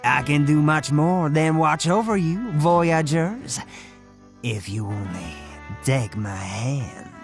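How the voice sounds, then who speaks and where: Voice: medium pitch, lively intonation, slow, vocal fry, music in the background. A performer, heard as a woman, voicing a feared cartoon death spirit in a theatrical, coaxing, sinister manner. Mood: sinister, grand. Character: feared ghostly death spirit, smooth, luring, grandly sinister. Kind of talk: animated cartoon voice acting.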